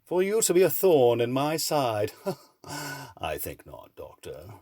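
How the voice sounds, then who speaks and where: A man's voice: medium pitch, wildly swooping pitch, slow, mellow, no room sound, studio quality, full character voice. A man playing a suave, menacing villain in an audio drama, mannered, condescending and mockingly polite. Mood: mocking, calculating.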